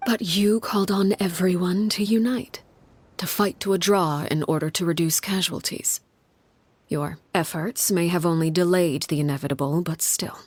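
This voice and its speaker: A woman voicing a stern, solemn leader in a video game, speaking in a grave, measured, hushed way. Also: on the low side, husky. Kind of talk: dramatic video game acting.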